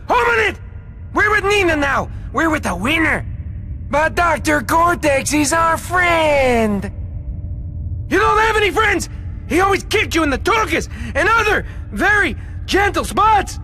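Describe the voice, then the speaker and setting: A man voicing a childish, cowardly henchman with a shrill squeaky voice, shouting and whining in a video game. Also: very animated, a little slow, heavily acted. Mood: bratty. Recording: game music behind.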